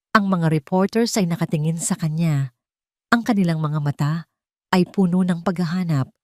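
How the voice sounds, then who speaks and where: A woman narrates a scripted drama. Her voice sits low for a woman, and the recording is dry, with no room around it. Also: warm tone, no room sound. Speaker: story narrator.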